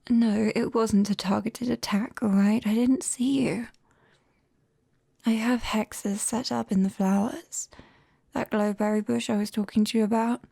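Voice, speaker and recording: A British woman playing a clumsy, caring young witch in an ASMR roleplay, whispering softly and hesitantly, close to the mic. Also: a little high, no echo, heavily acted. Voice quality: soft, breathy whisper. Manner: hesitant, coaxing, gently apologetic. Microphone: close binaural microphone.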